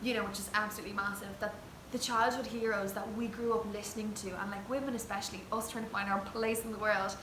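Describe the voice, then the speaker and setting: A woman's voice: medium pitch, level tone, quick pace, hardly any pauses. Chatty young Irish woman in echoey room. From Ireland. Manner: earnest, chatty, lots of "like" and "you know". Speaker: interviewee, traditional singer. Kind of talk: casual chat. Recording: echoey room with background noise.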